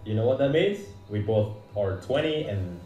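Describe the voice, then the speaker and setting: A man's voice: on the low side, lively intonation, moderate pace, warm tone. A young man in his twenties, a native Indonesian speaker, chatting playfully with strangers on a video chat in learner's Russian and English. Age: 20s. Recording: distant, echoey clip audio.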